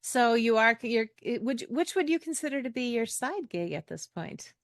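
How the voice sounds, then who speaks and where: A woman's voice: a little high, big pitch swings, normal speed, dry recording, studio quality. A middle-aged American woman hosting a podcast in a casual, chatty, joking way. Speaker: podcast host.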